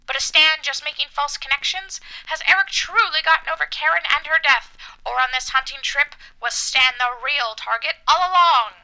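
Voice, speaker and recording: A woman's voice: high voice, moderate pace, hardly any pauses, sharp tone, poor audio. A woman hosting a spooky audio drama show, reading a scripted introduction in a theatrical, macabre manner. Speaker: podcast host.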